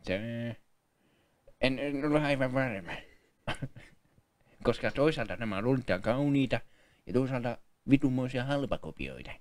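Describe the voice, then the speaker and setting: A man's voice: medium pitch, expressive, pauses often, dry recording, natural voice. Man dubbing a dithering, hesitant appraiser. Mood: unsure. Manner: hesitant, rambling. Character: dithering male appraiser unable to settle a value. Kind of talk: comic amateur dub of a reality show.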